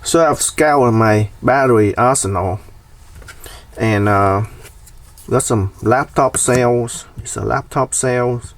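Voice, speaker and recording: A man's voice: medium pitch, slow pace, distant mic. A man presenting a casual, hands-on DIY explainer.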